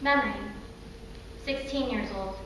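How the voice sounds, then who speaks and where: An actress playing a woman recalling her troubled girlhood in a dramatic, halting stage monologue, with childlike fright, recorded in an echoey hall. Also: on the high side, fairly bright tone. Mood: subdued, bleak. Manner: dramatic, halting, vividly acted delivery. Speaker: stage performer. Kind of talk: theatrical monologue. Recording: echoey hall from far off, faint noise.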